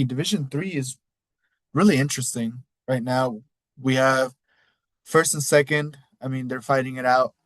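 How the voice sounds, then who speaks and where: Man, Mexican accent, solo podcast host, loose rambling delivery, mid pitch, close dry mic. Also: no room sound. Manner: rambling, loose, cusses casually. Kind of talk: casual solo recap talk.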